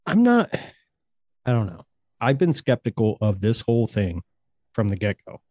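A man's voice: fairly low pitch, sing-song, moderate pace, darkish tone, no room sound. A man co-hosting a podcast in casual chat, talking in a heated, sarcastic, profane way. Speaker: podcast co-host.

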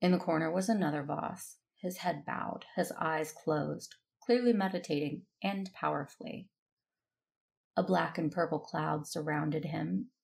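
Female Jedi lead for audio drama: earnest, determined, introspective, on the lower side with gravelly edges. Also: measured pace, a little mellow. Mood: grim, reflective. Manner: introspective, earnest first-person narrating. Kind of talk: audio drama acting with narration.

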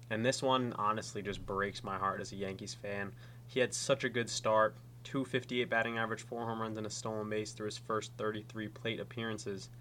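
A man's voice: lowish voice, pushed and bright, a little hiss or hum. Stuffy-voiced man hosting a podcast. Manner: casual, with frequent restarts and filler. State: stuffy from a cold. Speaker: podcast host. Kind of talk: solo fantasy baseball podcast.

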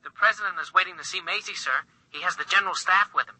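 A man's voice: sits high, fast talker, very noisy, lightly acted. A man playing a formal, droning machine servant in a 1950s radio drama. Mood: dutiful. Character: droning robotic sleep-teaching machine and servant. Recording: distant 1950s radio sound under music.

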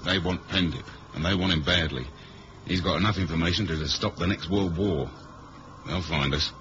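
Very deep, wry British spy played by a man. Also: moderate pace, natural voice. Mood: grim, steady. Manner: dry, wry, colloquial. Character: cool, wry British male spy, plain-spoken. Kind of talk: 1970s radio drama acting and first-person narration. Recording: muffled, noisy old radio recording.

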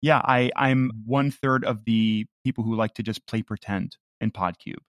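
A man's voice: lowish voice, moderate pace, no echo, clean studio sound. A man who creates podcasts, talking casually and self-deprecatingly in an interview. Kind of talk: casual conversation.

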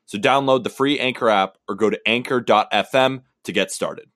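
A man co-hosting a baseball podcast, talking in an upbeat, energetic, positive way. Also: on the low side, normal speed, no room sound, clean studio sound. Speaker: podcast co-host. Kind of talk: casual sports podcast chat.

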